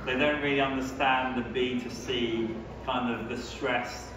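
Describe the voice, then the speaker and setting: A man's voice: mid-range voice, steady tone, measured pace, background noise. Man in his thirties speaking in a big, echoing hall. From France. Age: 30s. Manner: chatty, joking asides to the audience. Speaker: seminar speaker, company founder. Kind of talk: seminar presentation. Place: large exhibition hall. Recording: echoey hall, speaker far from mic.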